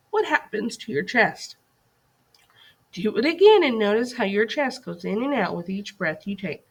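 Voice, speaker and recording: A woman's voice: mid-range voice, husky. A woman teacher reading a scripted lesson aloud for young children in an instructive way, putting questions to her listeners.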